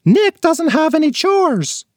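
A strict storybook mother scolds her son, her voice pitched high and swinging widely, as a male narrator puts her on. The recording is close and dry. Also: sing-song, slow pace, dry recording, heavily acted. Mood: stern. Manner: scolding, emphatic. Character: bossy mother, middle-aged woman, strict.

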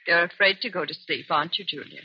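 An actress playing a concerned, soothing wife in an old-time radio suspense drama, speaking gently and reassuringly. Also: female voice, on the low side, fast, noisy background, lightly acted. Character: soothing, concerned wife comforting anxious relative. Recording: muffled, dry old radio recording.

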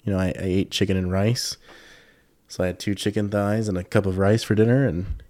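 A young man with a low voice and a slightly congested sound, talking through a relaxed solo podcast episode. His tone is mellow and dark, and the recording is dry and close, with no room around it. He rambles candidly and leans on lots of "you know"s and "um"s. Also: fairly quick, no echo. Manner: rambling, loose and candid, many fillers and swearing. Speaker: podcast host. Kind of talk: casual solo podcast chat.

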